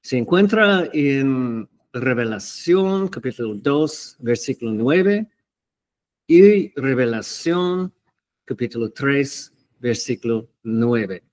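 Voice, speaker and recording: Very slow, halting man teaching the Bible. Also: mid-range voice, lively intonation, a little mellow. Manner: hesitant, halting, many fillers and restarts. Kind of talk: unscripted Bible teaching to camera.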